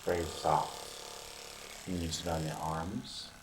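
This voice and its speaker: Deep-voiced man doing ASMR roleplay. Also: little pitch movement, measured pace, roomy sound, background noise. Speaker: ASMR performer playing a basketball recovery expert. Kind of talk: ASMR roleplay, talking to the listener.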